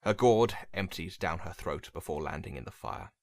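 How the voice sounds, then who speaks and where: A man reading dramatic fiction as a narrator, in a brooding, measured, theatrical way. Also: low voice, big pitch swings, creaky, dry recording, studio quality. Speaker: story narrator. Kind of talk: dramatic scripted story narration.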